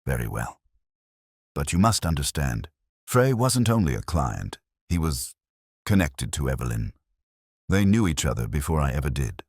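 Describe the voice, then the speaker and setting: A tired, curt solicitor voiced by a man in an audiobook. His voice is very deep and dryly recorded, formal and evasive, with varied pitch. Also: sing-song, moderate pace, slightly rough, dry recording, clean studio sound, full character voice. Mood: reluctant. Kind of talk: audiobook character dialogue.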